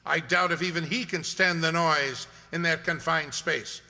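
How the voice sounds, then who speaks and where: A stern detective of a radio mystery, played by a man, sure of himself and used to command. The voice is high for a man and very bright and cutting, declaiming with authority in a reverberant hall. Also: steady tone, normal speed, gravelly, exaggerated voice. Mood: urgent, decisive.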